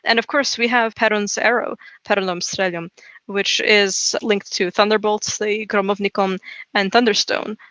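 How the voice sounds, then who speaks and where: A podcast co-host whose first language is Serbo-Croatian talks in a loose, meandering way, leaning on fillers and tag questions. The voice is bright and forward. It sounds close and dry, with no room around it. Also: mid-range voice, steady tone, normal speed, dry recording, dull audio. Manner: rambling, filler-heavy, frequent "you know" and "right?". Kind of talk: casual folklore podcast chat.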